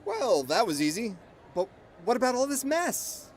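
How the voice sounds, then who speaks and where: A man's voice: extremely high pitch, big pitch swings, normal speed, slight background noise. A man playing a grumpy, sarcastic loner of a monster hunter in an audio drama. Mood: grudging.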